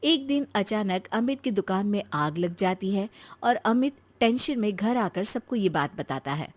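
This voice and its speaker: A woman's voice: medium pitch, expressive, measured pace. A woman storyteller reading scripted narration for a cartoon tale.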